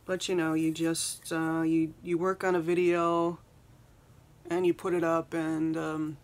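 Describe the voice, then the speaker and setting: A soft-spoken woman in her thirties chatting casually while doing her makeup, rambling and saying "um" a lot, recorded on a phone in a small bedroom. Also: low-pitched, level tone, a little slow. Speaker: beauty vlogger. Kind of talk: casual get-ready-with-me chat. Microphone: phone camera at arm's length.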